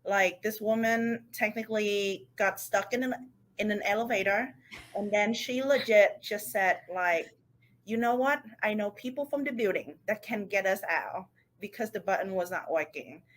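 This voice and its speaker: Young woman. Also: medium pitch. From Vietnam. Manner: chatty, many fillers, quick asides. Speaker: guest. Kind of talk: casual interview talk.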